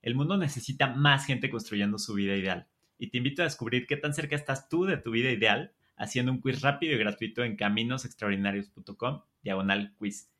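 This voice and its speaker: A man's voice: medium pitch, fairly quick, sharp tone. A man hosting a podcast, reading a scripted welcome and then asking questions in an upbeat, welcoming way. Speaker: podcast host. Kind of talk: scripted intro then interviewing.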